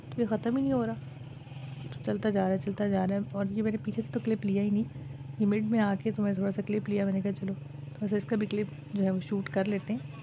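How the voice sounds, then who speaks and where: A woman from Pakistan vlogging in a casual, chatty way, talking to viewers as she shops in a bazaar at night and then at home. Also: medium pitch. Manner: chatty, addresses viewers with frequent "ji". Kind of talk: casual vlog narration. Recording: dull lo-fi phone audio with faint street noise.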